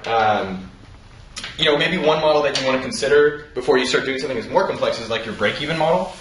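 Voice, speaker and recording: A man's voice: medium pitch, animated, a bit bright. A man hosting a casual chat and giving startup advice, loose and swearing freely. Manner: fast, loose, swears freely, laughs often. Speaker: host. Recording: echoey room with faint noise.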